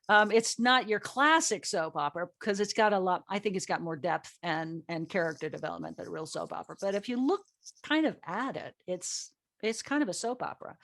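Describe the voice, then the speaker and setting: Casting: female panel host and actress, medium pitch, conversational storyteller, informal group chat. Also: no echo. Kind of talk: casual group discussion.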